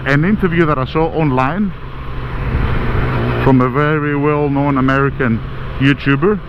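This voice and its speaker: A man in his forties with a Greek-Australian accent, chatting loosely as he rides through city traffic and cursing at other drivers. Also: medium pitch. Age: 40s. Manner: rambling, swears at other drivers. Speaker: motovlogger. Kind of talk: casual chat while riding. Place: on a motorbike in city traffic, outdoors. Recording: muffled with loud road noise.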